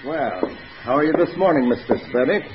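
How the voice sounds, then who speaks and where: An actor playing a suave, menacing resort host with a smooth, insinuating manner in a 1950s radio drama. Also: male voice, mid-range voice, sing-song, normal speed, close to an everyday voice. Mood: veiled menace. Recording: muffled, noisy old radio recording.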